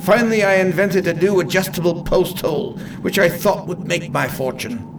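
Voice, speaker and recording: A man's voice: high voice, moderate pace, distant mic, noisy background, lightly acted. A man playing an eccentric, chatty inventor and salesman in a radio play, courteous and theatrically proud. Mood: boastful. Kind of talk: amateur radio play acting.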